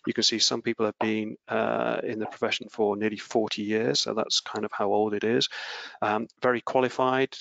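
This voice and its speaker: A man's voice: lowish voice, flat delivery, moderate pace, gravelly, a bit bright, no room sound. A man giving a webinar talk over a video call, hesitant with many fillers and restarts. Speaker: webinar speaker, research office head. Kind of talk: presenting slides in a webinar. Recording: dry, dull video call audio.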